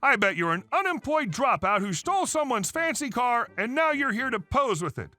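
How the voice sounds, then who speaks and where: A man voicing an entitled middle-aged mother who shouts accusations in a bossy, indignant way. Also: very high-pitched, very animated, moderate pace, no echo, soft background music, pitched above the performer's own voice, full character voice. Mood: shrill, accusing.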